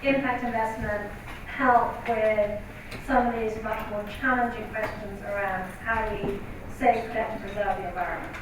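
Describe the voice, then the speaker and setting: A woman's voice: mid-range voice, fairly even intonation, moderate pace. Woman moderator in an echoey hall. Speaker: panel moderator. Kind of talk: moderating a panel. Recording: echoey hall, distant mic, background noise.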